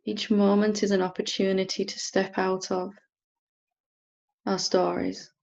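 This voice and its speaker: A woman teacher leading a guided meditation in a gentle, unhurried manner with long pauses. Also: mid-range voice, little pitch movement, creaky, lo-fi sound. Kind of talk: guided meditation, softly instructing.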